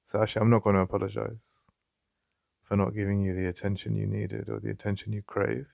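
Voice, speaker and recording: A man with an English accent playing a jealous, possessive yet vulnerable boyfriend in an intimate ASMR roleplay, halting and conversational with a gravelly voice. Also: on the low side, flat delivery, a little mellow, dry recording, clean studio sound. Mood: annoyed, defensive. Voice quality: gravelly, creaky. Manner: halting, repeating himself, conversational. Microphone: close, dry studio-style mic.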